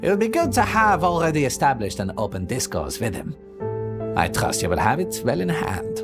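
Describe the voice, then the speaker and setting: An actor playing a seductive, aristocratic male vampire prince in an audio drama, with theatrical delivery that drops low and purring. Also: sing-song, fairly quick, over music. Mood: composed. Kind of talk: ASMR audio drama acting.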